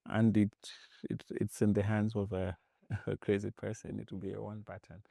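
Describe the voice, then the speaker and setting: A low, gravelly man from Zambia talks as a guest on an interview podcast. He is animated, restarting and repeating words as he explains ideas. The recording is close and dry. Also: normal speed, no echo. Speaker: podcast guest, tech executive. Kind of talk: casual interview talk.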